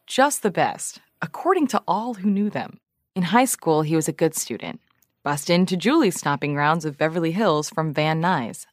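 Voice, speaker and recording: Investigative podcast host, businesslike woman, lively intonation, sardonic edge, articulate, close dry sound, audio drama. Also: on the low side, no echo. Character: sardonic, businesslike woman journalist hosting an investigative mystery show.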